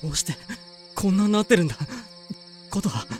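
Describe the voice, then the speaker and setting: An actor playing a hot-blooded, anguished young male fighter, rough and emotional, in a Japanese voice drama. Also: high-pitched, lively intonation, a little fast, faint music behind. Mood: frantic, distraught.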